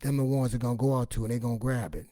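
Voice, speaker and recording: A raspy-voiced man giving an informal, emphatic personal testimony in a streetwise, repetitive way, acting out bits of dialogue as he goes. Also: mid-range voice, fairly even intonation, racing, mellow, no echo, studio quality. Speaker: online motivational and faith speaker.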